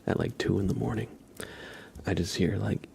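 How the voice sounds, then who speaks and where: A man hosting an ASMR livestream, chatting casually in a breathy whisper. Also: on the low side, steady tone, normal speed, a little mellow, slight background noise. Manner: casual chat, greeting viewers by name. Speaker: livestream host.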